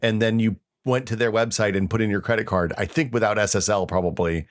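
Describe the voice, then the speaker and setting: A man's voice: on the low side, fairly even intonation, fast talker. A middle-aged American man co-hosting a podcast, chatting casually and reading old posts aloud in a wry, self-mocking way. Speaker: podcast co-host.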